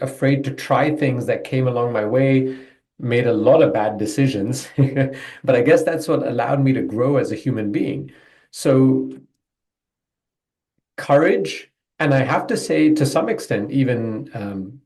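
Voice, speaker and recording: A man's voice: medium pitch, darkish tone, roomy sound. A man from Belgium, a business coach, talking reflectively and loosely about his career as a podcast guest. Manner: reflective, loose storytelling with fillers. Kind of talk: podcast interview.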